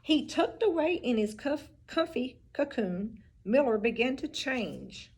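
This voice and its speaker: Animated, breathy woman reading aloud. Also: sits high, a little slow. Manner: expressive storybook reading with asides about the pictures. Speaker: teacher. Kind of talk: reading a picture book aloud.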